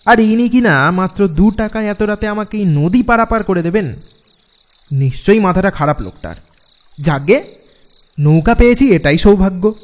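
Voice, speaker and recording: Man acting an anxious traveller, slightly high voice. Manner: dramatic, expressive. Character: anxious male traveller recounting his night crossing. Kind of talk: first-person horror story narration.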